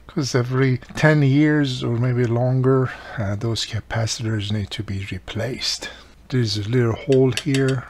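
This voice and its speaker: A man with a husky, gravelly voice, explaining a hands-on repair in a plain-spoken, informal way. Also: mid-range voice. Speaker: host of a radio repair how-to series.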